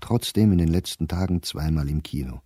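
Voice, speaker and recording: An actor playing an educated middle-aged diarist, bitter and reflective, reading his diary in a measured, sardonic way for a radio play. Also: rumbling low, lively intonation, over loud music.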